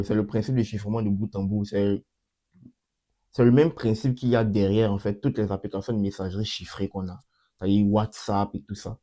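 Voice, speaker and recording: A young man hosting his channel and talking through a coding demo in a casual way, with a cold that makes his voice a bit off. He repeats himself often and fills pauses with hesitations. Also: on the low side, warm tone. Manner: repeats himself, many fillers and restarts. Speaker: channel host. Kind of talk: casual screen-recorded coding walkthrough.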